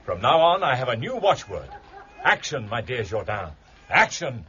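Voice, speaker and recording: An actor playing a reclusive, brilliant gentleman detective in a period mystery drama, with precise, formal, analytical speech. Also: medium pitch, sing-song, normal speed, muffled recording, a little hiss or hum. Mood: energized. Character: reclusive, brilliant gentleman amateur detective, weary then energized.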